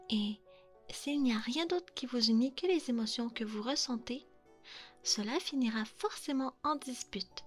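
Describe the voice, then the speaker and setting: Woman as a high-voiced, thoughtful fox spirit. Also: lightly acted. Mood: earnest. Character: thoughtful, polite female kitsune fox spirit, gently lecturing. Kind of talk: ASMR roleplay acting.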